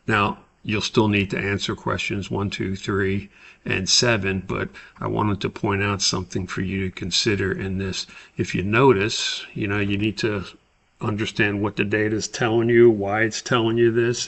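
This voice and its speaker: A man giving a step-by-step spreadsheet tutorial as an instructor, explaining deliberately. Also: on the low side, gravelly, a bit bright.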